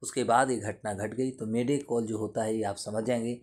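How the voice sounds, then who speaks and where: A man's voice: lowish voice, fairly even intonation, normal speed, a little mellow. A man giving an explanatory lesson in a didactic way, repeating and rephrasing his points. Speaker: teacher explaining current affairs.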